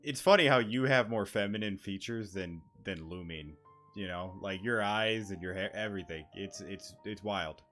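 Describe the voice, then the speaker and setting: Lowish-voiced man doing casual game commentary. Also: normal speed, fairly bright tone. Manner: wry, joking asides. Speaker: gaming YouTuber. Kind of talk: casual let's-play commentary. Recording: game music underneath. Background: game music.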